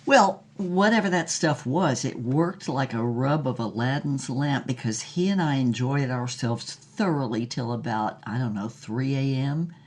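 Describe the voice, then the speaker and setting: A woman's voice: a bass voice, animated, exaggerated voice. An actress playing a brazen, worldly ninety-year-old film star woman, recounting her affairs frankly and sassily in an audio drama interview. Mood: brazen, animated. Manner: frank, sassy, unhurried storytelling.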